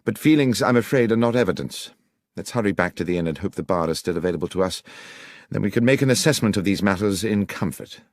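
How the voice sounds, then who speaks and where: An incisive gentleman detective, played by a male audiobook narrator, formal and precise. He questions and reasons with cool authority, his pitch moving freely, in a very dry recording. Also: expressive, quick pace, no echo. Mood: reflective, urgent. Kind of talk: audiobook character voice.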